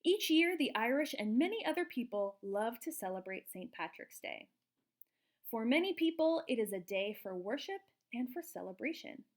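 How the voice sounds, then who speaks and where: A woman reading a picture book aloud to young children in an expressive, explanatory way, like a teacher. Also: fairly high pitch, moderate pace. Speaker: children's storybook reader, teacher.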